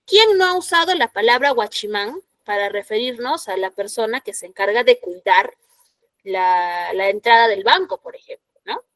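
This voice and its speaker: Give me a woman teacher with a Peruvian accent and a bright, raised pitch. She lectures in a dry, close sound and keeps checking her students are following. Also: expressive, normal speed, dry recording. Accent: Peruvian Spanish. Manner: explanatory, frequent "¿no?" checks, rhetorical questions to students. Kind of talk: classroom lecture.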